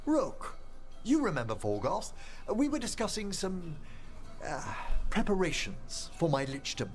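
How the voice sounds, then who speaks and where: An actor playing a courteous, genteel middle-aged necromancer in a fantasy video game, speaking formally and earnestly. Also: male voice, sits high, sing-song, unhurried, soft background music.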